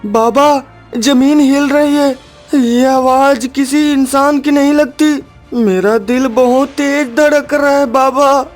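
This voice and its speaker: A woman's voice: high voice, fairly even intonation, takes it slowly, soft background music. A woman voicing a devoted, brave young son of a woodcutter, earnest and tender, in a cartoon story. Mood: frightened.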